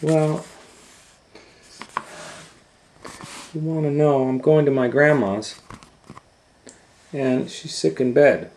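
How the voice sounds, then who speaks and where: A man's voice: on the high side, takes it slowly, a little mellow, slight background noise, voice pushed up, rough recording. A man voicing a sweet, polite little storybook girl who chatters in an eager, artless way. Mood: polite, innocent.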